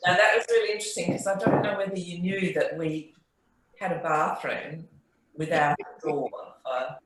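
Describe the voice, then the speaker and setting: Chatty co-host, mid pitch, heavy room echo, light hiss. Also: steady tone, moderate pace. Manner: chatty, explains at length after reacting. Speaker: podcast co-host and listener. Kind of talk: casual chat. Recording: very echoey room with faint hiss.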